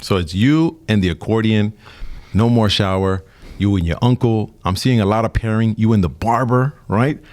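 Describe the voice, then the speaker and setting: A man's voice: lowish voice, moderate pace, a little mellow. A man from Brooklyn hosting a casual radio interview, teasing his guest and laughing often. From Brooklyn, New York.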